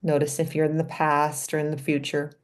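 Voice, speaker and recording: A woman leading a guided meditation in a gentle, unhurried manner, with pauses between short phrases. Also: sits low, completely flat delivery. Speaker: meditation leader and physician.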